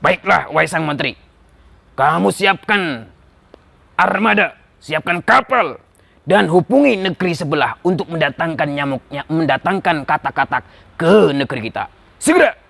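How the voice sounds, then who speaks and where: A man's voice: on the high side, expressive, normal speed, creaky, fairly bright tone, full character voice. Man voicing a pompous, foolish storybook king. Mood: commanding. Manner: pompous, groaning, given to hemming. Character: foolish, lazy, vain king, exasperated and bossy.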